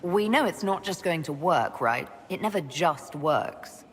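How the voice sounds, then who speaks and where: A woman's voice: deep voice, animated, measured pace, a little hiss or hum. An actress playing a pragmatic woman leading an order, talking directly and practically.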